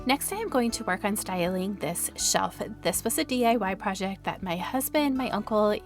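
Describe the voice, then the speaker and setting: A woman who sounds a little raspy from allergies, giving upbeat, friendly, scripted voice-over narration as a home decor vlogger. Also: mid-range voice.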